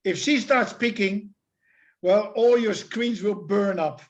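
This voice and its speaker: A man's voice: high voice, slow pace. A man hosting an online meeting, introducing speakers in a casual, effusive way over a video call. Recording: dull lo-fi online call audio.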